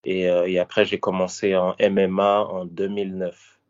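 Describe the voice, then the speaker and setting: A man in his thirties from Paris talks through his fighting career in a very deep voice. He speaks casually and haltingly, often restarting and filling pauses. The audio is dull and lo-fi. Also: completely flat delivery, a little mellow. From France, Paris. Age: 30s. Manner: hesitant, many fillers and restarts. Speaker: former MMA fighter and coach. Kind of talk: casual interview answers.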